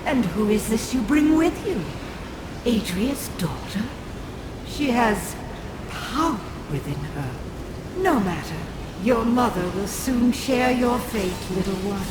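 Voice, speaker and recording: An actress playing a cruel, imperious cult sorceress in a video game, with a haughty, theatrical, menacing delivery. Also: female voice, fairly high pitch, lively intonation, slow, background noise. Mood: gloating menace.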